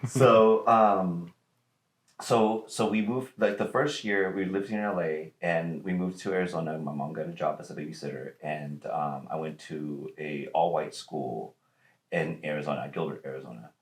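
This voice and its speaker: A very deep-voiced man from Mexico, speaking as a podcast guest with a measured, reflective manner. His voice bounces around a roomy, echoey space. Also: fairly even intonation. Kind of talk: casual chat.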